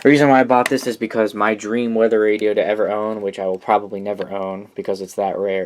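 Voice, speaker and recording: A man's voice: lowish voice, warm tone. A man giving a casual, unscripted show-and-tell as a hobbyist collector, rambling informally with enthusiasm.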